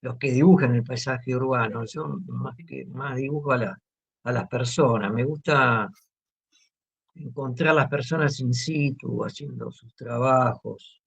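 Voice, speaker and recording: A man with an Argentine accent, talking in an interview about his drawing, pausing often with fillers and restarts. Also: medium pitch, gravelly, husky. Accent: Argentine Spanish. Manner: hesitant, many fillers and restarts. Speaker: guest artist. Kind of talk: relaxed interview talk. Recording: muffled lo-fi audio.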